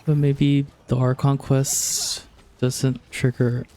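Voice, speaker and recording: A man's voice: mid-range voice, completely flat delivery, takes it slowly, warm tone, faint music behind. A man streaming a video game and chatting casually as he plays. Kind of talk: casual chat while playing a game.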